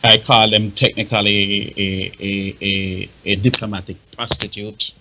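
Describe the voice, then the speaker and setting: Halting Jamaican man, low forceful voice. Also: flat delivery, low-quality recording. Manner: halting, repetitive, emphatic. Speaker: talk show panelist. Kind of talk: informal explanatory radio discussion.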